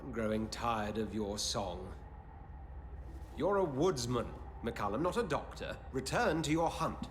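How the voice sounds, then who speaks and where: Man as animated, fussy hospital chief. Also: medium pitch, measured pace, a little hiss or hum, natural voice. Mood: irritated, firm. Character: genteel, earnest male hospital administrator, weary and theatrical. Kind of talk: video game dramatic acting.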